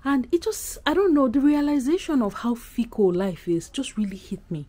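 A woman's voice: high voice, sing-song, normal speed, mellow. Chatty Nigerian woman vlogger. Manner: candid, emphatic, conversational. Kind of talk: casual chatty vlog to camera. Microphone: camera at arm's length.

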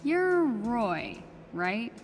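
A woman's voice: fairly high pitch, very animated, extremely slow, faint music behind, exaggerated voice. A woman voicing an earnest, nervous but plucky teenage girl superhero in a video game, with a casual, hesitant way of talking.

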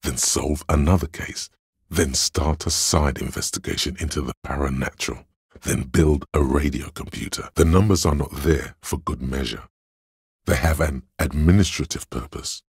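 An actor voicing a cool, analytical inner voice of logic in a detective game, narrating in a measured, dryly wry way. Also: male voice, very deep voice, darkish tone, slightly rough, dry recording, studio quality, full character voice. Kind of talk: scripted second-person game narration.

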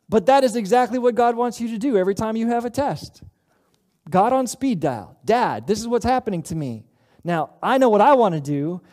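A middle-aged man preaching a sermon as pastor, earnest and conversational, with dramatic pauses. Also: high-pitched, darkish tone. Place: church sanctuary platform.

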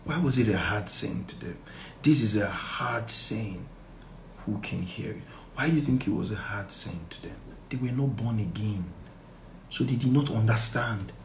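A middle-aged Nigerian man leading a live Bible study as pastor, reading verses and explaining them emphatically and deliberately, with repeated phrases and rhetorical questions. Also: fairly low pitch, lively intonation. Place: small indoor room. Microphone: phone at arm's length. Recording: echoey room, faint background noise.

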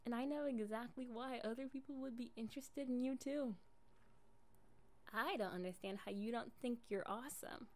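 A woman's voice: on the high side, normal speed, close to an everyday voice. A young woman playing an affectionate, jealous girlfriend in an improvised ASMR roleplay, casual and coaxing in an intimate way. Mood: tender, admiring.

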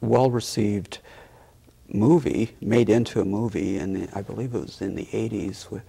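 An older man with a deep, gravelly voice that is very rough and breathy. He talks as a guest in a studio interview. His phrasing is halting and hesitant. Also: warm tone. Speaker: theater producer, talk show guest. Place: small TV studio, indoors. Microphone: lapel mic.

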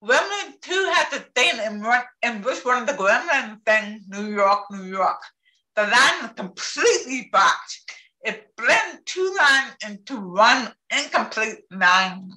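A woman with a deaf accent giving a talk on captioning over a video call, speaking carefully and deliberately. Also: fairly high pitch, big pitch swings, pushed and bright. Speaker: presenter. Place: home office with bookshelves. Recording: echoey room on a video call.

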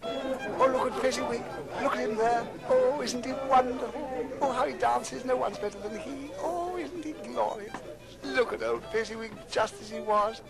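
An actor playing an agitated, wistful old man, Scrooge, in an old radio drama, with a creaky voice. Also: very high voice, moderate pace, a loud crowd, poor audio. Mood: delighted nostalgia. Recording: old record with faint background music.

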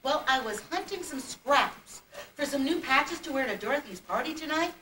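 A giddy living patchwork doll acted by a woman, very high and wildly varied in pitch. She is playful and nonsensical, a puppet-show figure heard from far off on an echoey, noisy recording. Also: animated, moderate pace, sharp tone. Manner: playful, exclamatory. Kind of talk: children's puppet play acting. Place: puppet show stage in a convention room.

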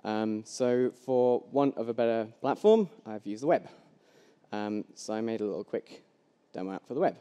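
Young man, mellow low voice, casual demo talk, hall reverb. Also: normal speed, clear, resonant tone. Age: young adult. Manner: casual, loose, many ums and restarts. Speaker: conference speaker. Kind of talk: live coding demo talk. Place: large hall with long reverb. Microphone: headset mic. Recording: echoey hall.

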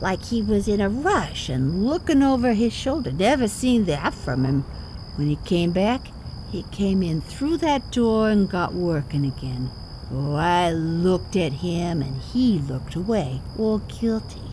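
Nosy old village woman, feisty, folksy, blunt, lively pitch, audio drama, faint crickets behind. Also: unhurried, a little hiss or hum, rough recording. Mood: indignant, gossipy.

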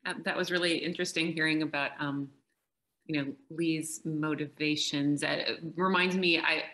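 Low, flat-voiced woman moderator. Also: moderate pace. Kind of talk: video call interview. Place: home living room by a bright window.

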